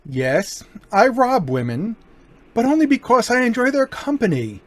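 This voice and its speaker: An actor in an amateur old-time radio play, voicing a smooth, mocking gentleman burglar with a lively, swinging delivery. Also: extremely high pitch, moderate pace, dry recording, exaggerated voice. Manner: smooth, mocking, turns menacing. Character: suave, cocky male gentleman burglar.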